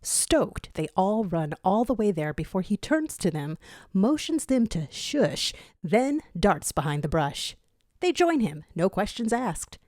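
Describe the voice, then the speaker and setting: A woman narrating a scripted fan fiction story in an expressive storytelling style. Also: mid-range voice, a little slow, dry recording, studio quality.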